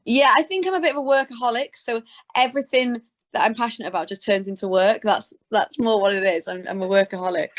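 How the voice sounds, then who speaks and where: A woman's voice: a little high, fast. A British woman chatting informally as a guest in an interview, answering in a conversational way. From Scotland and Gloucestershire, England. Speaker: interview guest, sports presenter. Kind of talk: casual interview chat.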